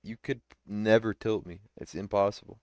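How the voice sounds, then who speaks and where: A man trading mocking, deadpan taunts on a voice call. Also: lowish voice, moderate pace, dry recording. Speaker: streamer. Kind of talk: trolling banter on a voice call.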